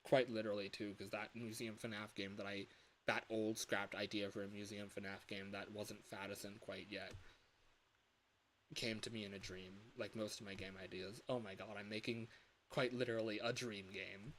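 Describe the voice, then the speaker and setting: A man in his early twenties chatting casually on a livestream as a game developer, rambling in an excitable, self-deprecating, giggly way. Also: fairly low pitch, moderate pace, poor audio. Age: early 20s. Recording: hissy home livestream audio.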